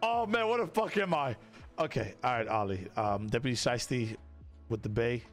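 A man's voice: medium pitch, expressive, normal speed, soft background music. A young man streaming casual improvised game roleplay as a deputy, hesitant and repeating himself, on a close mic. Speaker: streamer playing a sheriff's deputy in online roleplay. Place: small indoor streaming room.

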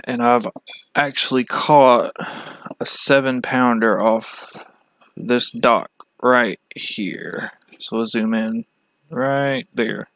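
A man talks through a casual walkthrough at a very slow pace, stopping often between short phrases. His voice is smooth and clear, recorded close and very dry. The delivery is plain and matter-of-fact, like a hobby channel host explaining something on screen. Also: medium pitch, steady tone, a bit bright, dry recording.

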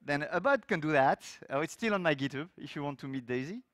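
A middle-aged man from France with a French accent, giving a technical talk on a conference stage with loose, lively phrasing and many fillers. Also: fairly high pitch, fast talker, dry recording, studio quality. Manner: loose, lively delivery with frequent fillers and restarts. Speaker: conference speaker. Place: large conference hall stage.

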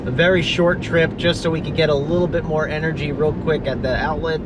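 A man's voice: a little high, little pitch movement, fairly quick, very noisy. Upbeat man narrating from his car. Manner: chatty, upbeat, thinks aloud with fillers. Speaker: vlogger. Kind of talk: casual road trip narration. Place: in a car on the highway.

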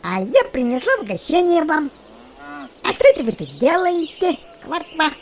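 Woman playing a chatty, high-pitched croaking frog. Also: big pitch swings, normal speed. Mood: cheerful. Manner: croaking exclamations.

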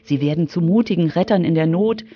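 A woman's voice: on the low side, fairly quick, no echo, lo-fi sound. A woman reporter reading scripted narration for a children's radio feature.

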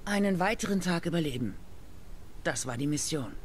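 A woman's voice: deep voice, expressive, normal speed, a little hiss or hum. A woman playing a dutiful, battle-hardened soldier and survivor in a video game, with clipped military delivery. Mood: formal, earnest. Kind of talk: dramatic video game dialogue.

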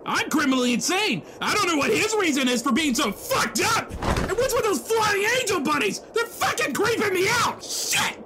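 A woman voicing an unhinged young man who rants and yells profanely in the afterlife. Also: very high voice, sing-song, normal speed, heavy background noise. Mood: frantic. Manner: frantic, profane yelling.

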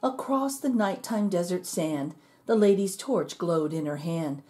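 A woman reading a rhyming children's picture book aloud in an expressive, measured storytelling manner. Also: fairly low pitch, faint music behind. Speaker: storybook reader.

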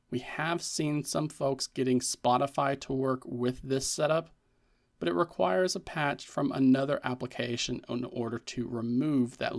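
Male tech tutorial narrator, average pitch, noticeably creaky, scripted and explanatory delivery. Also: a little mellow.

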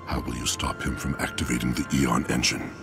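A solemn ancient droid guide gives slow, commanding instructions. His voice sits extremely low with a gravelly rasp. Loud game music plays underneath. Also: flat delivery. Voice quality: deep gravelly voice. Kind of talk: game character dialogue.

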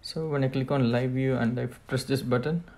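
Man with a creaky voice, giving setup instructions. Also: mid-range voice, steady tone, moderate pace, a little mellow. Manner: step-by-step, plain instructional. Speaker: tutorial presenter. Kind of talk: hands-on product setup walkthrough.

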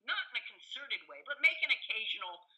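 An older woman speaks as a guest expert in a conversational interview. Her voice is strikingly bright and sits a little high. She tells her stories with enthusiasm, halting and then landing emphatically on her points. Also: sing-song, poor audio. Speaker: professor and author, podcast guest. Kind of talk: conversational interview answers, storytelling.

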